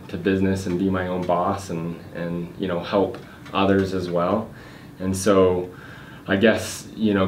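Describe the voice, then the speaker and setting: A man in his thirties being interviewed in an office meeting room, talking casually with many fillers and restarts. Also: sits low. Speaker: guest, real estate investor. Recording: echoey room, faint noise.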